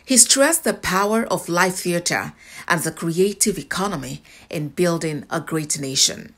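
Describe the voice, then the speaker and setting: A woman's voice: fairly low pitch, animated, a little slow, fairly bright tone. A woman with a Nigerian accent reading a scripted television news report as a voice-over.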